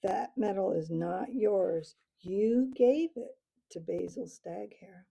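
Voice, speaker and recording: A woman's voice: medium pitch, takes it slowly, creaky, husky, full character voice, poor audio. Woman voicing a bold defiant young mouse. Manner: earnest, defiant, shouting challenges. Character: bold, stubborn young male mouse hero.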